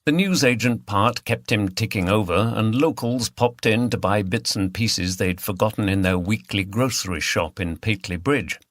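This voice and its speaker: A man's voice: fairly low pitch, moderate pace, few pauses, fairly bright tone, dry recording. A man reading a mystery novel as scripted audiobook narration.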